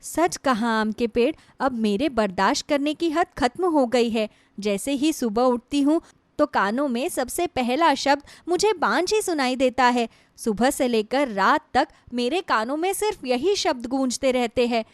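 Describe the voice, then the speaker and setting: Long-suffering young wife, storybook tale, acted by a woman: high voice, despairing, plaintive, dry studio sound. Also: lively intonation, measured pace, no echo. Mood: sorrowful. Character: sorrowful, long-suffering young wife pouring out her grief. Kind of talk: Hindi moral storybook voice acting.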